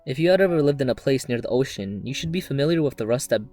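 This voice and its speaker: A man's voice: medium pitch, lively intonation, fast talker, a little mellow. A man narrating an anime review in a casual, scripted video-essay style, with joking, mock-exasperated asides. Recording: background music under voice. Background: music.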